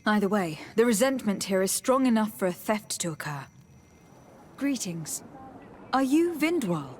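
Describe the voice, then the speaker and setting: A woman playing a calm, diplomatic young noblewoman envoy in a video game, speaking in a measured, formal and firm way. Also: mid-range voice, slightly rough. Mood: composed. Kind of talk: video game dialogue acting.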